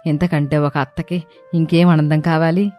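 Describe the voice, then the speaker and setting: A woman's voice: deep voice, little pitch movement, normal speed, mellow, soft background music, natural voice. A woman voicing a proud, approving older mother-in-law in a moral family story. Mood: proud, pleased.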